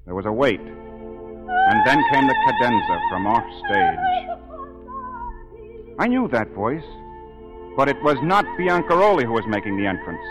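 An actor playing an opera singer who gravely recounts eerie events, in measured, dramatic 1940s radio drama narration. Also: male voice, high-pitched, huge pitch swings, over loud music, exaggerated voice, low-quality recording. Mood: suspenseful.

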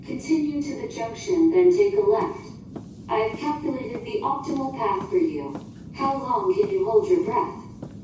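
A woman's voice: fairly high pitch, lively intonation, a little slow, mellow. A woman playing a literal, formal ship computer in a science fiction audio drama, speaking in precise, emotionless phrasing. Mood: calm, instructive. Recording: very echoey, distant, noisy ambience.

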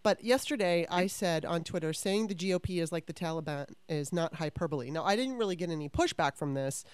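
A woman hosting a podcast, talking in a chatty, animated way with frequent restarts, close to the microphone. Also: fairly low pitch, brisk, clean tone, no echo. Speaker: podcast host. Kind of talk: casual interview chat.

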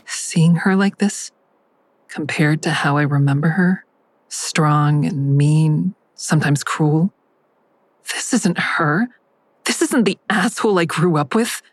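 A bitter, guarded grown daughter in an audio drama. Her voice sits low and is recorded close and dry. She speaks tersely, with a confrontational edge. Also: slow pace, no echo. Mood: guarded, reflective. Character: guarded, bitter adult daughter of a dying mother. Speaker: lead actor narrating and playing a daughter. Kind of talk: audio drama acting with first-person narration.

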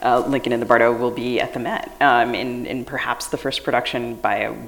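Female co-host, very low voice, live stage podcast, loose rambling delivery. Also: steady tone, brisk, in a large hall, poor audio. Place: stage at a live book festival event.

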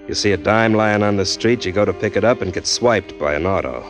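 A man's voice: on the low side, natural voice. An actor playing a down-on-his-luck male drifter who narrates in a hard-boiled style in a 1940s radio drama.

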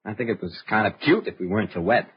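An actor playing a skeptical young husband with an American accent in a radio drama, casual, slangy and dismissive. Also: male voice, on the low side, expressive, fast, a little hiss or hum, natural voice. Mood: breezy, dismissive. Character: breezy, skeptical American husband stranded at inn. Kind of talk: old-time radio drama acting. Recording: muffled old radio recording.

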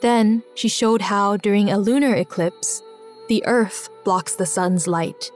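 A woman reading a scripted biographical story for children in a measured, clearly enunciated storytelling way. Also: medium pitch, darkish tone. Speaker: narrator of a children's biography podcast.

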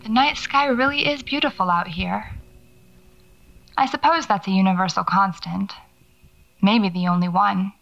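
An actress playing a pensive, wry horror writer, musing reflectively into a taped diary in an audio drama. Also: female voice, mid-range voice, normal speed, creaky, pauses often, a bit bright. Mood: pensive, wistful. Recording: slightly dull cassette diary sound.

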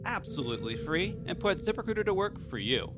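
A man's voice: high voice, big pitch swings, fast talker, music in the background. A man co-hosting a bantering ad read in a jokey, self-deprecating way. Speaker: show writer and ad co-host.

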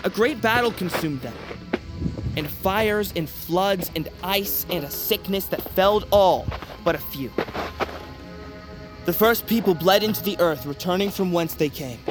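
Slow, high-voiced mythic storyteller. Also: male voice, over music. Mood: solemn, ominous. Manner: portentous, incantatory. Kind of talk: dramatic scripted myth narration.

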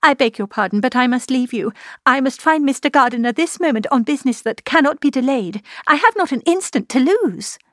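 Narrator playing anguished young lady, high voice, breathless, agitated, self-reproaching, classic novel, dry close recording. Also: moderate pace, no room sound, lightly acted. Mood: urgent, flustered.

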